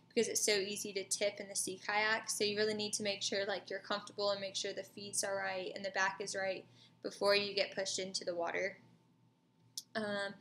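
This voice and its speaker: A woman's voice: mid-range voice, fairly bright tone, a little hiss or hum. A woman in her twenties with an American accent, giving a casual, matter-of-fact spoken reflection as a student, recorded indoors on a webcam. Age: 20s. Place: small furnished room indoors.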